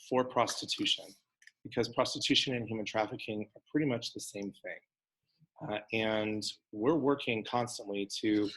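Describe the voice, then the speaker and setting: A man with a somewhat low, hoarse voice, recovering from having lost it, addresses a small room and an online audience. He speaks in a halting way, full of fillers and restarts, with a sound. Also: a bit bright, rough recording. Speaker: counselor leading a talk.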